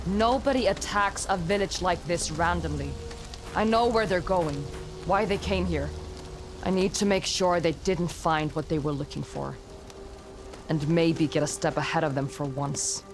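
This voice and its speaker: A woman's voice: fairly low pitch, unhurried. Grieving young warrior woman in a game cutscene, urgent and clipped, guarded, music in the background. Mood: grim, resolved. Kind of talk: dramatic video game cutscene acting.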